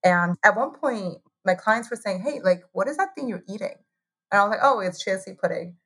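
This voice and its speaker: A woman's voice: mid-range voice, expressive, fairly quick, a bit bright, breathy edge. A woman in her thirties from Taiwan, telling her story as an interview guest in a chatty way, laughing often and filling with "like". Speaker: guest, hot sauce co-founder.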